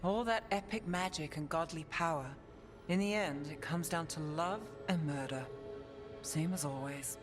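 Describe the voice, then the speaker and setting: An actress playing a shrewd, cynical fantasy adventurer in a video game, with a dry, wry way of talking. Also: female voice, sits low, normal speed, natural voice. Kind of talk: dramatic video game dialogue. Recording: music behind the voice.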